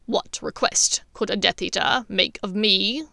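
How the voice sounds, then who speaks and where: A woman's voice: medium pitch, gravelly, sharp tone, no echo, clean studio sound. A woman reading a grave old wizard headmaster. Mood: grave measured. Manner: slow, measured, grave.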